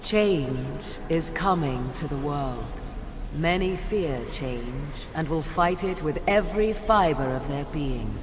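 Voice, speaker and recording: A woman playing a proud, secretive witch in a video game, speaking in formal, archaic phrases with a haughty, measured manner. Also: deep voice, animated. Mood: solemn. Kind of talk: dramatic video game acting. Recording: long hall reverb, clear background noise.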